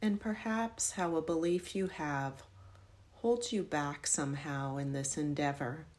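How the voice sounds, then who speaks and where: A woman with a low voice leads a guided meditation. She speaks very slowly, laying out each instruction in measured phrases. Her direction is gentle and instructional, in the style of yoga nidra.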